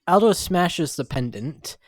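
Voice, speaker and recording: A man's voice: on the high side, very animated, takes it slowly, no room sound. A man reading scripted narration for a comic-book dramatic story. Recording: music behind the voice.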